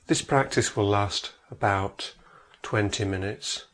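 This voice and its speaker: A man narrating a guided meditation as an instructor, in a measured way with long pauses between phrases. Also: on the low side, a bit bright.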